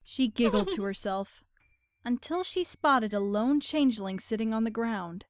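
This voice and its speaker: A woman's voice: fairly high pitch, animated, normal speed, slight background noise. A woman reading scripted story narration as the narrator of an audio drama.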